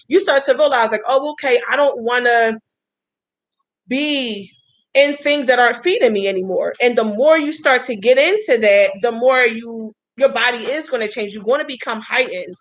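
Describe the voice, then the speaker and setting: Host, woman, 30s: chatty and folksy, higher-pitched voice, talks straight to her viewers. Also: normal speed. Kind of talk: casual live-stream talk.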